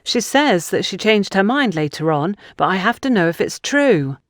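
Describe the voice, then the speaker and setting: A woman voicing a composed, probing woman with a family grievance in an audio mystery, speaking in an earnest, measured way. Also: medium pitch, sing-song, no room sound, clean studio sound, pitched above the performer's own voice, lightly acted. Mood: probing, calm.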